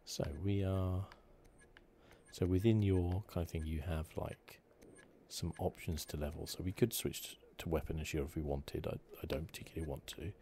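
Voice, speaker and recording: Male gaming host, hushed ASMR commentary. Rock-bottom pitch, relaxed and chatty, clear game noise behind. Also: moderate pace. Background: video game ambience.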